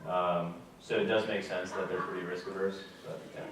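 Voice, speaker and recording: A young man giving a casual talk to a student audience, relaxed and plain-spoken with many fillers. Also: sits low, moderate pace, noisy background. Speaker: guest speaker. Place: lecture room with audience. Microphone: far camera. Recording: echoey room from far off.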